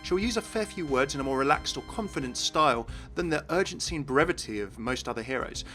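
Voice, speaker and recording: A man's voice: medium pitch, lively intonation, quick pace, fairly bright tone. A man hosting a gaming video, narrating in an enthusiastic explainer style. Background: music.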